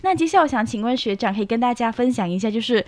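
A young woman with a fairly high voice hosts a conversational interview as a student reporter. She speaks in a friendly exchange with short acknowledgements, and the sound is dry with little room. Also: lively intonation, rapid-fire, no room sound. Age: young adult.

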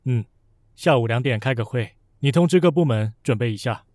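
A man's voice: medium pitch, expressive, fairly quick, a little mellow, no echo, lightly acted. A man playing a dry, teasing young CEO. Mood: curt. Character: cool, sardonic young male CEO. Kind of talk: audio drama acting.

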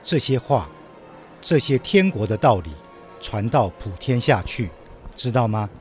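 A man playing a solemn, gentle Jesus. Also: mid-range voice, expressive, measured pace, faint music behind, lightly acted. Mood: solemn, tender. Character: gentle, solemn Jesus teaching his disciples. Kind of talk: religious radio drama acting.

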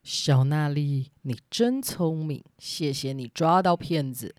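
Storybook king, played by a woman: lowered voice, formal, measured, commanding. Children's radio play. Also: a little mellow, no echo, studio quality. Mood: gracious, humbled. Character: stern but grateful old-tale king, male.

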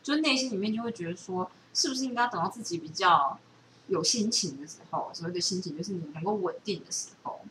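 A woman's voice: on the low side, animated, quick pace, a bit bright, distant mic, slight background noise, poor audio. A woman with a Taiwanese accent chatting casually as a co-host, dropping English words into her talk. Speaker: podcast co-host. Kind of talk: casual chat.

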